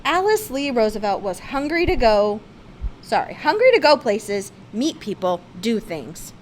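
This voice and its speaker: A woman reading a children's picture book aloud, with expressive, emphatic phrasing. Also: a little high, measured pace, slight background noise. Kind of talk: read-aloud children's storybook narration.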